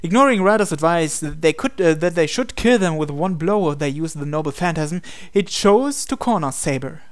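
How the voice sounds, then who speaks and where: A man's voice: on the high side, lively intonation, moderate pace. A man hosting a gaming channel, reading visual novel narration aloud.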